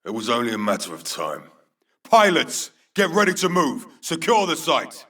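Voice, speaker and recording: Casting: authoritative middle-aged fleet commander for a sci-fi shooter game; clipped, formal delivery, slightly distant echoey sound. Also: male voice, medium pitch, wildly swooping pitch, moderate pace, fairly bright tone. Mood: firm, intense. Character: stern, authoritative male military vice admiral commanding troops. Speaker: military commander. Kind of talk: video game military briefing voice acting.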